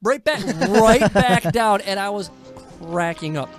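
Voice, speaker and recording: A woman's voice: mid-range voice, measured pace, soft background music. A woman chatting casually as a podcast host reviewing a film, giggly and emphatic in how she tells it. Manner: animated, giggly, emphatic storytelling. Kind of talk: casual movie review chat.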